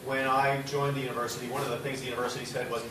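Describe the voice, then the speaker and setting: A man gives a talk to a seated audience, loud and conversational, often pausing on "uh" and "um". He is recorded from far off in an echoing room with steady background noise. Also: mid-range voice. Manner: conversational, loud-voiced, hesitant fillers. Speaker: university executive speaker. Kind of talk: symposium presentation. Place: lecture room or studio space. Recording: roomy hall from far off, noisy.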